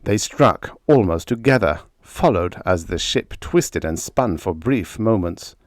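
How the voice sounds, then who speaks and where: A man's voice: deep voice, animated, unhurried, no echo, clean studio sound. A man narrating an audiobook of pulp adventure fiction in a dramatic, scripted reading style.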